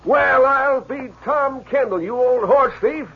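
A folksy stagecoach driver. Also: male voice, very high voice, slow pace, vocal fry, husky, full character voice. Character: folksy male stagecoach driver, blunt and sociable. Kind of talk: 1950s radio drama acting. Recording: lo-fi old radio recording.

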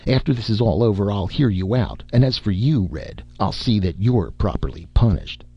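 A man reading aloud, voicing a stern industrialist who speaks in a brisk, commanding way, in a slightly muffled dry recording. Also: fairly low pitch, lively intonation, vocal fry, breathy edge, dry recording, full character voice. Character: stern, flustered male industrialist.

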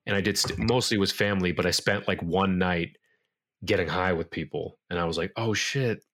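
A man in his thirties, a musician guest on a podcast, talking casually in a relaxed, rambling way with many fillers and restarts. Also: sits low, normal speed. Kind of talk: casual interview talk.

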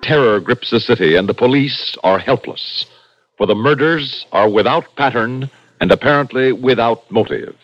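A man announcing a 1960s radio suspense show in an ominous, theatrical scripted style, with a husky voice. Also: medium pitch, moderate pace. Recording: dull old radio broadcast with music.

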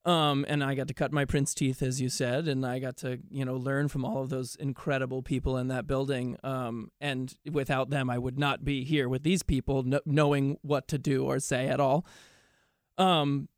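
A man's voice: mid-range voice, level tone, moderate pace, clean tone, no room sound, clean studio sound. A young man, a stage actor being interviewed, telling stories casually, often saying "like" and acting out remarks he quotes. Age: young adult. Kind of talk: animated casual storytelling.

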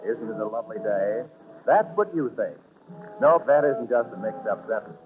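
A man's voice: lowish voice, lively intonation, moderate pace. An American man announcing in a polished scripted 1940s radio style, on a tinny old recording. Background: music.

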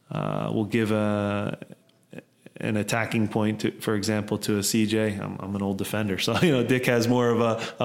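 A middle-aged man, a soccer head coach, answering interview questions at length, rambling with many fillers and restarts. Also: lowish voice.

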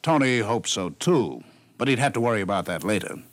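A man narrating a scripted story for 1950s radio, with measured phrasing and dramatic pauses. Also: fairly low pitch, expressive, dry recording. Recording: noisy 1950s radio broadcast recording.

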